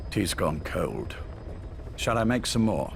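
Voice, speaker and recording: An actor playing an older male spymaster who speaks in a calm, courteous, probing way. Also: sits low, takes it slowly, vocal fry, slight background noise, heavily acted. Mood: measured.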